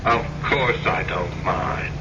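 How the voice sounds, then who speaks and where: Slow, wooing ghostly lover. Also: male voice, very animated, exaggerated voice. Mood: seductive. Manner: wooing, pleading, theatrical. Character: smooth-talking, insistent male lover returned as ghostly visitor. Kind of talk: old-time radio drama acting. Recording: dull lo-fi radio recording, loud vehicle rumble.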